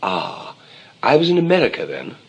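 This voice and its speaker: An actor playing a cynical, conceited novelist in a 1920s comedy of manners, dry, blunt and playfully provocative. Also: male voice, medium pitch, huge pitch swings, moderate pace, breathy edge, heavily acted. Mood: dry, casual. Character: cynical, teasing male novelist, urbane and conceited. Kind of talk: 1920s English comedy of manners stage acting.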